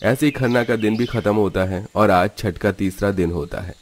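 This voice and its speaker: A man's voice: lowish voice, normal speed, slight background noise. A man narrating a scripted cartoon story in a dramatic storybook manner.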